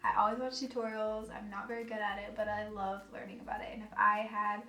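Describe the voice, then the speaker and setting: A soft-spoken American woman in her twenties chatting casually and giggling in a vlog. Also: medium pitch, little pitch movement, fast talker. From United States. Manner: chatty, giggly, self-deprecating. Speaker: vlogger. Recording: echoey room, voice a bit far from mic, faint music.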